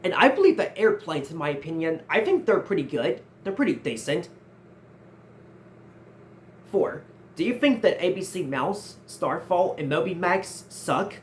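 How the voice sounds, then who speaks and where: High-voiced young man answering questions. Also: sing-song, measured pace, slight background noise. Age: 19, nearly 20. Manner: earnest, repeats and restarts phrases often. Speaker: channel host answering viewer questions. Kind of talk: casual Q&A vlog.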